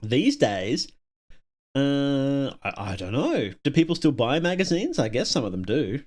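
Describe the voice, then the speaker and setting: A man's voice: mid-range voice, sing-song, measured pace, a little mellow. A man chatting off the cuff as a live streamer, musing aloud and often trailing off as he rambles. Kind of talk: casual off-the-cuff livestream chat.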